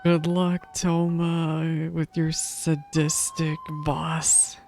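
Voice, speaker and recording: A woman's voice: low voice, level tone, very slow, a little mellow. A woman doing casual, wry live reaction commentary as a game streamer. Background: game music.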